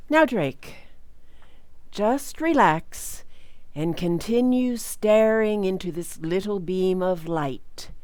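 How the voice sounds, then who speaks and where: A woman playing a brisk, clinical psychiatrist, commanding and methodical, in a radio mystery drama. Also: mid-range voice, expressive, a little mellow, soft background music. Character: brisk, clinical female psychiatrist testing a patient.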